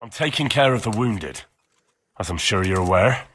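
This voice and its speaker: Young man as a plain-spoken, lively lad. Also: on the low side, moderate pace, slight background noise. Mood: defiant. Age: young adult. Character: earnest, cheeky young smith's son, stubborn. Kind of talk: video game cutscene acting.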